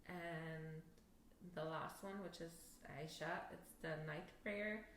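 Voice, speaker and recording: A woman's voice: deep voice, steady tone, takes it slowly, a bit bright, slight background noise. A young woman co-hosting a podcast in casual chat, laughing easily and trailing off with fillers. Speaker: podcast co-host. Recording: echoey room.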